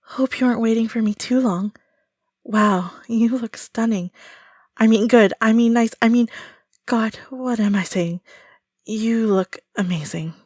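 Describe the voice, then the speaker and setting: A woman's voice: a little high, unhurried, darkish tone, dry recording, studio quality, pitched above the performer's own voice. A woman playing an eager, earnest and slightly nervous actress at an audition, in an audio roleplay. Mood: flustered, gushing.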